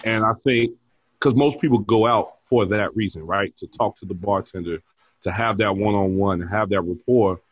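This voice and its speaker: A man in his forties talks easily as a podcast guest, with a low, husky voice. He laughs often while telling stories. The sound is dry and a little dull, like a modest remote mic. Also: normal speed, dry recording. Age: 40s. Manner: easygoing storyteller who laughs often. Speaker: bar manager and podcast guest. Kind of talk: casual interview chat.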